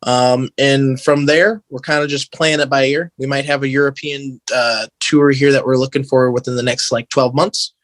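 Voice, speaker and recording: Man, American accent, mid-pitched voice, informal podcast guest, sincere, slangy filler-heavy talk, very dry recording. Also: fairly even intonation, normal speed, few pauses, fairly bright tone, dry recording. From American Midwest. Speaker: podcast guest, band guitarist. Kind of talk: casual interview chat.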